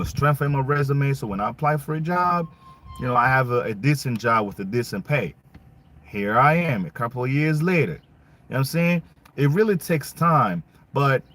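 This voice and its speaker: A man's voice: mid-range voice, fairly bright tone, slight background noise. A young man from Congo giving a casual, emphatic opinion monologue full of rhetorical questions, his not English. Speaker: vlogger.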